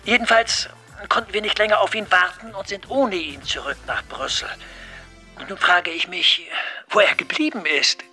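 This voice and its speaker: A polite boss, sharp high voice. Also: male voice, normal speed, faint music behind. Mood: evasive, polite. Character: courteous male superior, anxious, reassuring and evasive. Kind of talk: radio drama acting.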